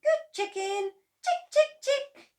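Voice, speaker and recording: A woman voicing a young boy who talks in a soft, earnest way. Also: extremely high pitch, expressive, takes it slowly, voice pushed up, exaggerated voice. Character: young boy, calm and careful.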